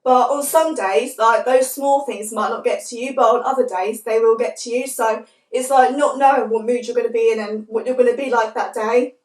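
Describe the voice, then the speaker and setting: A young woman with a fairly high voice talks to camera, croaky and stuffed-up from a cold. She rambles softly, leaning on "like" as she goes. The sound is roomy and a little distant, as if the mic sits across her bedroom. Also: fairly even intonation, normal speed. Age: young adult. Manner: soft-spoken, rambling, lots of "like". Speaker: vlogger. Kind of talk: casual to-camera chat.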